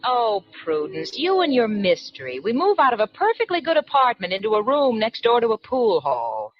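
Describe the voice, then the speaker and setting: An actress playing a wry roommate who grumbles about their new place, on an old radio drama recording. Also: female voice, fairly high pitch, wildly swooping pitch, normal speed, soft background music. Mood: grumbling. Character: grumbling wisecracking female roommate. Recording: dull 1940s radio broadcast.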